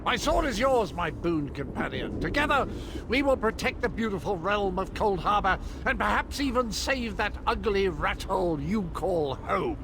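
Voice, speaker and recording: A swooping, pompous, jolly mad knight. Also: male voice, sits high, expressive, moderate pace, background noise. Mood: grandly exultant. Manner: pompous, theatrical, flowery speech. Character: eccentric, jolly, chivalrous old knight, grandiose and mad. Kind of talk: video game character acting.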